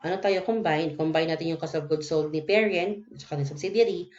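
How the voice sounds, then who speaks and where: Casting: Filipino woman, lowish voice, informal lecturer who hesitates and seeks agreement as she explains; dull lo-fi sound. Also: fast talker, darkish tone. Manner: code-switching, hesitant, frequently checks understanding with tag questions. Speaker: accounting lecturer. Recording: dull, lo-fi voice recording.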